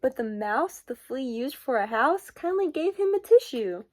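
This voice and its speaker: A woman reading a children's picture book aloud in a deliberate, expressive way, with sing-song emphasis. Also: sits high. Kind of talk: children's storybook read-aloud.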